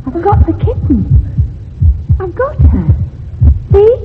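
A woman playing an eerie, sweetly calm ghost child in a radio thriller, coaxing in a sing-song way. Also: very high-pitched, slow, heavily acted. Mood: eerily sweet. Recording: muffled, noisy old radio recording with hum.

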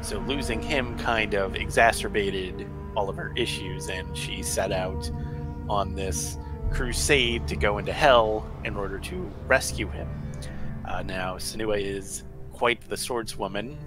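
A man co-hosts a game stream and recaps the story in a relaxed, casual way, correcting himself as he goes. His voice sits fairly low. Game music plays clearly in the background. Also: measured pace. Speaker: co-host. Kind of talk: casual let's-play chat.

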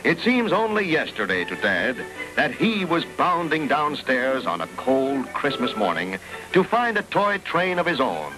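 An American man narrates a promotional film like a storyteller. His theatrical delivery swings widely in pitch, sitting slightly high, over prominent music. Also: big pitch swings, a little slow, poor audio.

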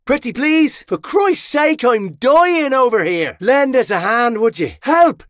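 A cheeky Irish sellsword from a medieval battle game. His delivery is theatrical and brash, full of slang, with big swings in pitch. He takes his time over the words, and the sound is dry and close. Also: lively intonation, measured pace, a bit bright, dry recording, full character voice. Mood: pleading, urgent. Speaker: mercenary soldier voice in a video game. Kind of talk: video game battle barks.

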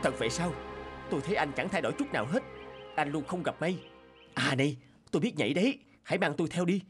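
A man voicing the skeleton ghost of a murdered man, earnest and pleading, over loud music. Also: fairly high pitch, lively intonation, quick pace, lightly acted. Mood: coaxing.

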